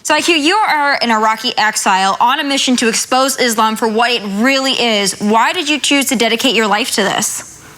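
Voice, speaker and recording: A woman hosting a TV interview, asking measured, probing questions. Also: on the high side, sharp tone. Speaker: TV news host.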